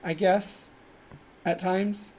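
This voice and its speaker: A man with a higher-pitched voice co-hosting a podcast in casual chat over a video call, rambling and restarting his sentences. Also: little pitch movement, very slow, darkish tone. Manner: rambling, self-interrupting, with repeated fillers. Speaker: podcast co-host.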